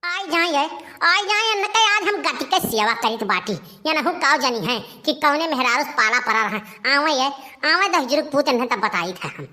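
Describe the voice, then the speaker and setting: A woman's voice: sits high, expressive, moderate pace. A woman voicing a bossy, fiery middle-aged village wife in a cartoon comedy, scolding in an Awadhi accent. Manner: scolding, loud calling.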